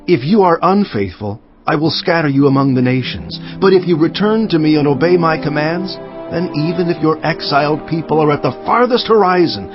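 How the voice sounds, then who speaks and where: A man's voice: a little high, close to an everyday voice. A man playing a devout, earnest cupbearer who narrates his memoir in dramatized scripture, solemn and emphatic. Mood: fervent prayer. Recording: slightly dull audio with music behind. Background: music.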